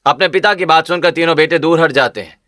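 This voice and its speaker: Bright high-pitched man narrating a story. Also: quick pace.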